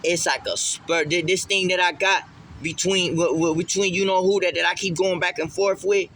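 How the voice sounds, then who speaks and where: A man's voice: high-pitched, fairly even intonation, moderate pace, fairly bright tone, a little hiss or hum. A man speaking emphatically in street slang in a casual spiritual vlog monologue, often repeating phrases for emphasis. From Puerto Rican heritage. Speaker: vlogger.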